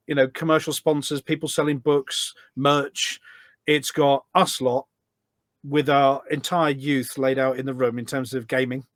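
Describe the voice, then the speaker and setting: A man's voice: mid-range voice, no room sound, studio quality. A middle-aged man talking casually and at length as a podcast guest, explaining things in an anecdotal way. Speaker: podcast guest, volunteer event organiser. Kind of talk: casual conversational interview.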